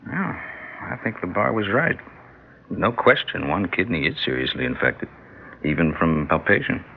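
A man's voice: low-pitched, lively intonation, moderate pace, vocal fry, a little hiss or hum. An actor playing an earnest, reassuring and authoritative male surgeon in an old-time radio drama. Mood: grave. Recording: muffled vintage radio recording.

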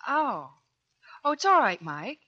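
A woman's voice: fairly high pitch, very animated, takes it slowly, slightly rough, exaggerated voice. A woman acting a spirited, loyal Navy nurse and sister in a 1940s radio drama. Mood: teasing. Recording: dull 1940s radio recording.

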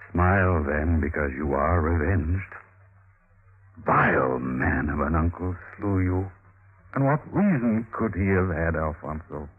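A man's voice: deep voice, gravelly, husky, heavily acted. A man acting a scheming Italian Renaissance nobleman who feigns lofty grief, in a florid, theatrical classic radio drama style. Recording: tinny, band-limited old radio recording.

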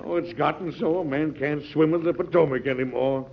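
An actor playing a principled, stubborn American president in an old-time radio drama, with an earnest, formal, declamatory manner. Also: male voice, a little high, brisk, gravelly, a little hiss or hum. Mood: flustered, indignant. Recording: muffled vintage radio recording.

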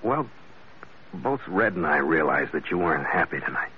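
An actor playing a tough, calm skipper turned detective in an old radio drama, terse and matter-of-fact, narrating and speaking in character. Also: male voice, very deep voice, moderate pace, husky, exaggerated voice. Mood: steady, probing. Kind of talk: 1940s-50s radio drama acting, first-person narration and dialogue. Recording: muffled, noisy old radio recording.

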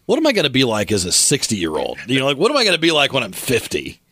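A man's voice: mid-range voice, wildly swooping pitch, fast, bright, forceful tone, slight background noise. A man in his late forties co-hosting a casual chat, earnest and encouraging, often restarting his sentences. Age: 40s. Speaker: co-host.

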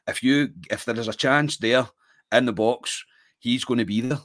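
A man's voice: medium pitch, moderate pace, a bit bright, no echo. A man with a Scottish accent co-hosting a football podcast, chatting casually after a match, rambling a little and often calling his co-host mate.

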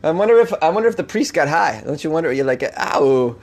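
A man with a Boston accent hosts a comedic news podcast in a loose, rambling monologue. He jokes irreverently and laughs often. His voice sits a bit high for a man. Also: expressive, racing, a little mellow. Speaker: podcast host.

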